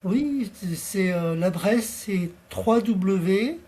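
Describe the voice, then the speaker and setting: Practical man in his fifties, husky and mellow, plain-spoken interview guest. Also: sits high, lively intonation, very slow. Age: 50s. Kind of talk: casual interview talk.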